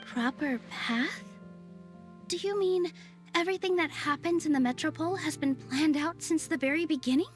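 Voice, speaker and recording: A woman's voice: high voice, normal speed, soft background music, close to an everyday voice. A woman voicing a confident, playful young female yokai courier in a video game, chatty and curious. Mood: wary. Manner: chatty, breezy.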